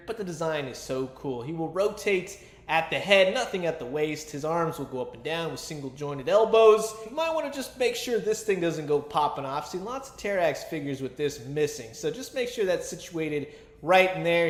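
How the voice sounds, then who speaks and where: A man's voice: a little high, echoey room. A man giving a casual, chatty toy review with a fan's enthusiasm and colloquial asides. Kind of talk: casual action figure review commentary.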